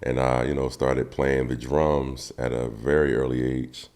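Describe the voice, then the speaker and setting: A young man from New Jersey with a very deep voice, talking easily about his drumming as a podcast guest. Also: unhurried. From Trenton, New Jersey. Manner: relaxed, easygoing, many fillers. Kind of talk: casual interview talk.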